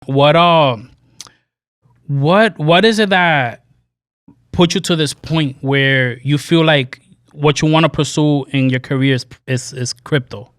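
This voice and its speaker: A man's voice: on the high side, slow, a bit bright, smooth, dry recording, studio quality. A man hosting a podcast, interviewing a guest in casual chat, rambling and repeating words, often tagging "man" and "right". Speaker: podcast host. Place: podcast studio.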